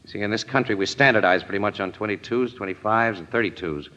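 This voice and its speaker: A man with an American accent playing a tough, quick-witted, slangy crime photographer in a 1940s radio drama. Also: fairly low pitch, bright, forceful tone. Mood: thoughtful, explaining. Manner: slangy, wry, assertive. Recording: muffled old-time radio recording.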